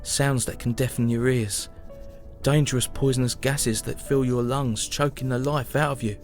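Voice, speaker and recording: An actor playing a weary, grieving young British soldier, narrating his war journal in a solemn, measured, mournful way. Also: medium pitch, fairly even intonation, lightly acted. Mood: bleak, weary. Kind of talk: dramatic first-person journal narration. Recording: music behind the voice. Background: music.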